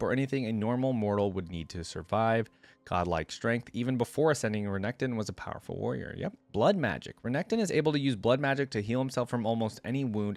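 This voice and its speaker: Low-voiced man reading aloud, dry sound. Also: dry recording. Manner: informal, thinks aloud with frequent "like". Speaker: reaction video host. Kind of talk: reading lore aloud with casual commentary.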